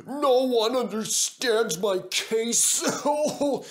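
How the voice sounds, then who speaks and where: A man voicing a miserable, self-pitying steam engine with a weak, drawn-out whine, in a children's story. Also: mid-range voice, pitched above the performer's own voice. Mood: miserable complaint.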